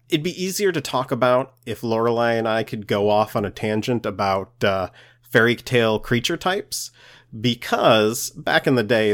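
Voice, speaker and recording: A man co-hosting a podcast, explaining lore in a halting way as he searches for words. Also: fairly low pitch, a bit bright. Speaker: podcast co-host.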